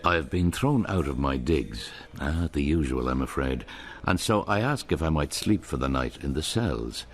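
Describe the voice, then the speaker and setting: A man's voice: a bass voice, expressive, normal speed. A man narrating a radio drama in a wry, storytelling manner.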